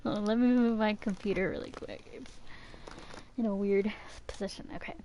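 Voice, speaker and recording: A woman's voice: medium pitch, steady tone, moderate pace, warm tone, a little hiss or hum. A woman streaming a game, giving casual, slangy commentary in short, informal reactions. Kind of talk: casual live commentary while gaming.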